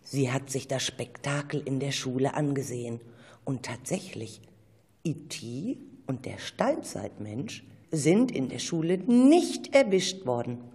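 A woman with a husky voice narrating a children's radio play like a storybook. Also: very deep voice, expressive, a little slow, mellow. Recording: reverberant hall-like sound.

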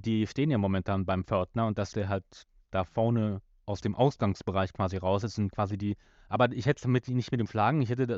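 A man's voice: on the low side, a little mellow, no echo, highs cut off. A man co-hosting a podcast, casually talking through the details of an audio drama. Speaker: podcast co-host.

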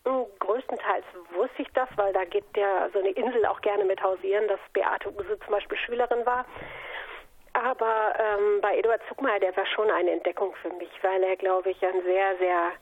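A woman's voice: medium pitch, steady tone, moderate pace. Woman interview guest on a phone-like line. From North Sea island, Germany. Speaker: interview guest, author and singer. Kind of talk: conversational interview answers. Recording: narrow telephone-like radio sound.

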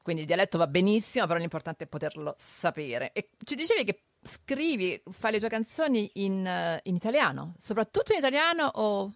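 A lively woman co-hosts a radio talk show, switching between Italian and German as she praises the guest. Her voice sounds dry and close to the mic. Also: mid-range voice, fast, hardly any pauses, dry recording. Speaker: radio co-host, Italian teacher. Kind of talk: casual radio chat. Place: radio studio.

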